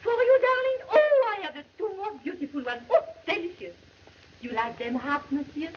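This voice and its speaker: Worldly French saloon keeper, French accent, high swooping voice, old lo-fi film audio. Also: female voice, lively intonation, moderate pace, heavy background noise. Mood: flirtatious. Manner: laughing, coquettish, broken English.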